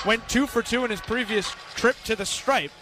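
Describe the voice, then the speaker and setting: A man's voice: extremely high pitch, moderate pace, music in the background. An American man doing live radio play-by-play of a basketball game, projecting like an announcer. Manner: projecting over crowd, announcing. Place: courtside in an indoor basketball arena. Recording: loud arena crowd noise, bouncing balls. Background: crowd, ball bounces.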